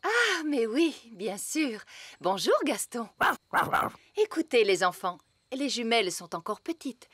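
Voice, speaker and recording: A woman's voice: a little high, big pitch swings, a little slow, breathy edge, dry recording, heavily acted. A woman voicing a gracious, motherly fairy queen in a French children's cartoon dub, with refined sing-song delivery. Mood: gently motherly.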